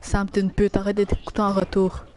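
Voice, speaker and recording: A woman's voice: mid-range voice, completely flat delivery, a little fast, a little mellow, a little hiss or hum. A woman with a Quebec French accent chatting casually while livestreaming a game, slangy and crude in her teasing. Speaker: video game streamer.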